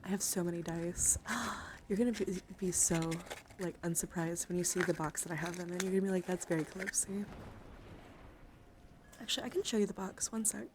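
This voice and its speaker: A soft-spoken woman doing a casual ASMR live stream, often slipping into whispers and rambling informally, with playful asides. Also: fairly low pitch, fast talker. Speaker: streamer, host of a live card-collection stream. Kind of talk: ASMR, casual live show-and-tell with chat. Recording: clear background noise and handling sounds.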